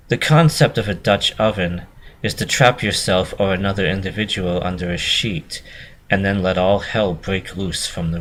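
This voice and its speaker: Low-voiced man reading a story dramatically. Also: fairly bright tone, a little hiss or hum. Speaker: narrator. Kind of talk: dramatic reading of fan fiction.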